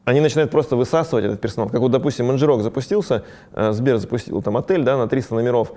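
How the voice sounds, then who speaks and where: A man who runs a ski resort business, speaking casually in an interview, talking fast with many fillers. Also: medium pitch, mellow.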